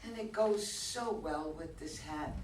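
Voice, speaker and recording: A woman acting a wry, slow-spoken poor tenant, distant and roomy. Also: deep voice, darkish tone. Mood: wheedling, flattering. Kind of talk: staged play reading. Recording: distant roomy live recording, background noise.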